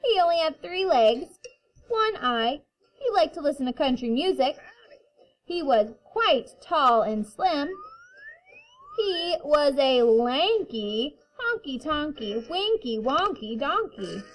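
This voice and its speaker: Animated, high-voiced woman reading a picture book. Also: rough recording. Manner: sing-song, drawn-out playful delivery. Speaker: kindergarten teacher reading to her class. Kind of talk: children's picture-book read-aloud.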